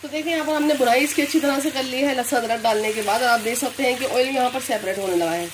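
A woman with a Delhi-area Hindustani accent explains a home recipe step by step in a calm, instructive way. Her voice sits a little high. Faint kitchen sounds run underneath. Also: fast talker, background noise. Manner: calm instructive, repeats "here" and "this way". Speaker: cooking channel host.

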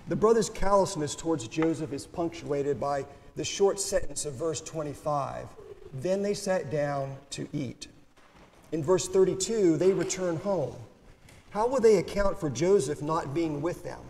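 A man in his fifties giving a deliberate, measured homily from a pulpit microphone in a church sanctuary. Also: fairly high pitch, a little mellow. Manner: measured, deliberate preaching read from notes. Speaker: priest. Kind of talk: sermon. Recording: reverberant church, faint room noise.

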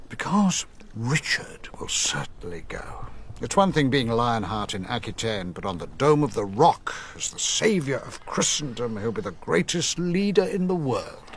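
Gravelly, cunning old king. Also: medium pitch, big pitch swings, measured pace, slight background noise. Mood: sardonic. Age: older. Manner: sardonic, commanding. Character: ageing, cunning, sardonic medieval king. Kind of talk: radio drama acting.

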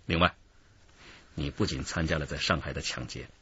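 An actor playing a stern, shrewd police interrogator in a radio crime drama, husky-voiced, lecturing with emphatic, measured authority. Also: male voice, a bass voice, bright, forceful tone, dry recording, highs cut off, heavily acted. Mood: stern, pressing.

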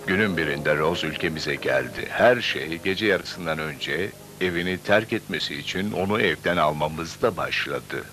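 A man's voice: extremely low pitch, expressive, moderate pace, hardly any pauses, over music. A man voicing a small friendly magical creature who guides and narrates in a dubbed cartoon. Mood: welcoming, storytelling. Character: small friendly magical creature, everyone's brother, guiding storyteller.

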